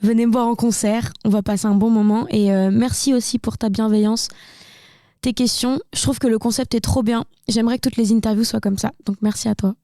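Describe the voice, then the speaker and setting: A young woman with a slight Burgundy lilt, talking candidly and at length as an interview guest. Her voice is mellow and dark-toned, recorded close and dry with no room sound. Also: on the high side, fairly even intonation, a little fast, dry recording. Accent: slight Burgundy French. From Burgundy, France. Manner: candid, talkative, many fillers and restarts. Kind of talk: casual interview chat.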